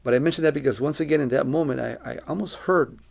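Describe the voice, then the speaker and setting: A middle-aged man from Colombia speaking as a missionary, giving a personal testimony in an earnest, reflective, deliberate way. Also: on the high side, vocal fry, darkish tone.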